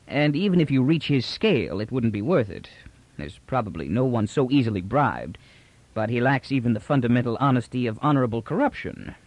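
Man reading wry old patrician, gentle and measured, dry audiobook sound. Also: mid-range voice, expressive, no room sound, close to an everyday voice. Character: calm, dry-witted older male patrician.

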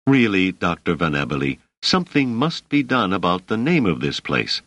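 A man's voice: sits low, very animated, normal speed, a bit bright, no room sound, full character voice. A man voicing a plump, merry, middle-aged motherly woman in an audiobook, gracious and politely chatty. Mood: pleasantly amused.

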